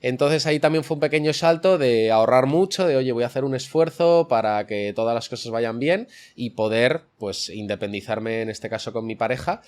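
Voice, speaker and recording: A man's voice: mid-range voice, expressive, normal speed, few pauses, a little mellow. A young man from the Madrid area with a central Spanish accent, giving long, explanatory answers as an interview guest. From Madrid area, Spain. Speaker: interview guest, online fitness coach.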